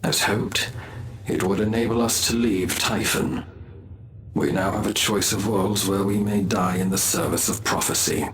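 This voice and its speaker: Bitter elf wanderer for a game cutscene: deep, dry, brooding, world-weary. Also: male voice, little pitch movement, measured pace, a bit bright, slight background noise. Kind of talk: video game cutscene acting.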